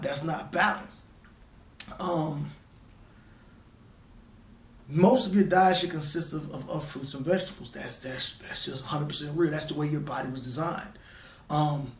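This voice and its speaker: A young man, talking casually to his viewers in a laid-back way. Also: a little high. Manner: laid-back, repeats "man" and "you know what I'm saying". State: hoarse. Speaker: vlogger. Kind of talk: casual monologue to camera. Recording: roomy, from a distance.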